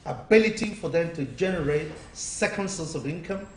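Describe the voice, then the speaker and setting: A man's voice: fairly high pitch, lively intonation, moderate pace, darkish tone. A man speaking as a business coach and mentor, giving earnest, persuasive interview answers and repeating phrases as he explains. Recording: echoey room, distant mic, faint noise.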